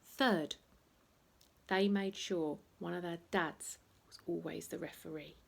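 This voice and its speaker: A woman reading a children's bedtime story aloud in an expressive, playful way, acting out noises as she goes. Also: on the low side, slow, gravelly. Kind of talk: animated bedtime story reading with sound effects and asides.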